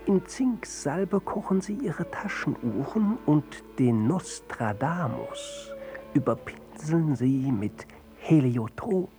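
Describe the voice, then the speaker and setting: A grandiose, weary fantasist poet in an experimental radio play. He declaims slowly with highly animated, swooping pitch. Faint music runs behind him. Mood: declamatory. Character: pompous, world-weary male fantasist and church poet. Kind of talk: avant-garde radio play monologue.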